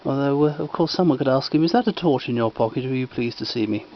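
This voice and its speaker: A man's voice: mid-range voice, quick pace. A man giving casual, humorous commentary as he presents an old record. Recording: dull sound with faint noise.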